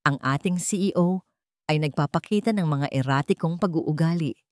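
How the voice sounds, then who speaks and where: A woman voicing a scheming older businessman father in an audio story, formal and measured, with a Filipino accent. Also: low voice, no room sound, studio quality, lightly acted. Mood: calm, calculating.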